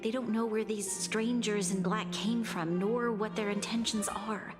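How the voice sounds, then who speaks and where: Game role: composed, earnest young woman ruler, female actor, mid-pitched, carrying heavy duty, echoing hall. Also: steady tone, measured pace, over music, natural voice. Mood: weary, worried. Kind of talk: video game voice acting.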